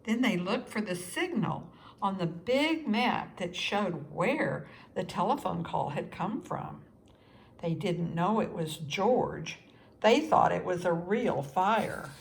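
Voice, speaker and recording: Slow, very animated woman reading a children's story. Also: lowish voice, gravelly, a little hiss or hum. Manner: expressive, with deliberate pauses for young listeners. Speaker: storybook reader. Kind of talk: scripted children's story narration, read aloud.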